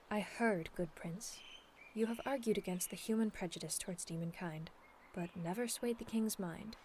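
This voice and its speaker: A woman playing a dignified, earnest demon princess in a scripted ASMR audio roleplay, speaking in a formal, measured, persuasive way. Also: medium pitch, a little mellow, slight background noise, natural voice. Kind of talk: scripted ASMR roleplay acting, addressed to the listener.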